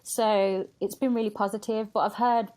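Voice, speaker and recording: A woman's voice: medium pitch, level tone, normal speed, a little mellow. A woman talking candidly and casually as a podcast guest, chatty and acting out quotes as she tells stories. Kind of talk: candid casual interview chat.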